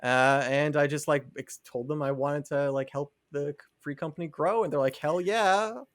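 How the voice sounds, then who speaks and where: A man's voice: a little high, moderate pace, dry recording, clean studio sound. A man co-hosting a podcast in casual chat, talking in a rambling way peppered with "like". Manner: rambling, filler-heavy conversational delivery with frequent "like". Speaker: podcast co-host.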